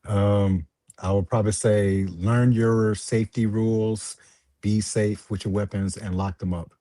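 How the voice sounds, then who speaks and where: A man's voice: fairly low pitch, fairly even intonation, unhurried, mellow, no echo. A young man joining as a guest on a live chat, easygoing, laughing often and hedging his guesses.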